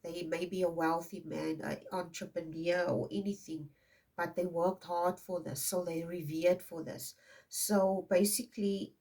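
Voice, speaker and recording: South African woman, 50s, Afrikaans first language; low-pitched, meandering tarot reader, recorded from a distance off the mic. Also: steady tone, unhurried, a little mellow. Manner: rambling, tags many lines with "okay?". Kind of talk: casual intuitive card reading.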